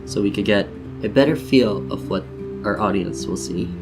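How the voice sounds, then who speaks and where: A man's voice: lowish voice, moderate pace, music in the background. A man hosting a tutorial in a casual, playful way, talking viewers through each step as if chatting with them. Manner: playful, jokey, addresses viewers as "you guys". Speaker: tutorial host. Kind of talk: casual instructional screen-share narration.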